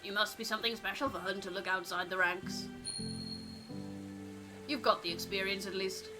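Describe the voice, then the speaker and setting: Casting: woman as seasoned ship's helm hand, mid-pitched, steady, calm and plain-spoken, narrative game role. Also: normal speed, music in the background, natural voice, low-quality recording. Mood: calm, reflective. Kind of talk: character voice acting in a game playthrough.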